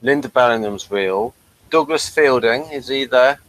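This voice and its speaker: A man's voice: medium pitch, moderate pace, dry recording, poor audio. A man hosting a review show in a casual, conversational style. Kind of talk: casual chat.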